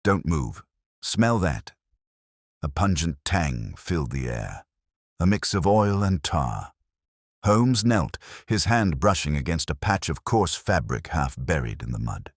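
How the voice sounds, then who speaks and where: A man narrates a Victorian mystery in a literary, dramatic style. His voice is very deep and rough-edged, with lively, expressive movement in pitch, heard dry and free of room sound. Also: a little slow, dry recording.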